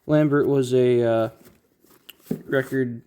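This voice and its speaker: Mellow-voiced man, casual and halting. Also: medium pitch, steady tone, clean tone. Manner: halting, thinking aloud. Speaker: record collector, video host. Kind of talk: casual unboxing commentary.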